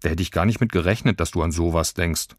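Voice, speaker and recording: A man's voice: low voice, quick pace, no echo, studio quality. A man voicing a cocky young boy who fancies himself a stuntman in a children's story, cool and self-important.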